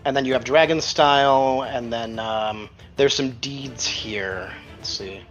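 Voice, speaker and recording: A man's voice: medium pitch, unhurried, a bit bright, highs cut off. A man working as game master, talking casually and profanely through rules and narrating the action. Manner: colloquial, profane. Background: background music.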